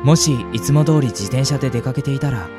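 Guy doing a soft earnest young student guy, radio drama narration, music behind him. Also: mid-range voice, fairly quick, natural voice. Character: gentle, sentimental young male university student narrating his story. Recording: music bed under the voice.

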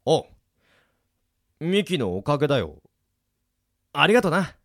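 A man acts out a teenage boy who is a lovable dimwit, unlucky in love. The delivery is lively and wide-ranging, in radio drama style, with music underneath. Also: fairly high pitch, measured pace. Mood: happy, grateful. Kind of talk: amateur radio drama acting.

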